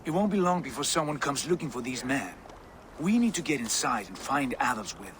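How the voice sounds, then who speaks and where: Grandiose, sardonic Viking lord. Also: male voice, mid-range voice, sing-song, a bit bright, a little hiss or hum. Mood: brisk, resolute. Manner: theatrical, deliberate, sardonic. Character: smooth, grandiose, coolly menacing Viking lord. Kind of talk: dramatic video game acting.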